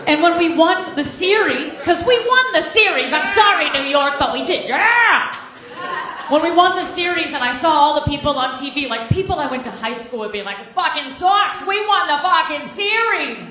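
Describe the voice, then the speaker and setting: A woman's voice: sits high, animated, moderate pace, a crowd in the background. An American woman from Boston doing stand-up comedy, talking in an old-fashioned 1940s manner. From Boston, United States. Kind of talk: stand-up comedy to a live audience. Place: indoor live comedy show, audience behind. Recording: echoey live room with audience.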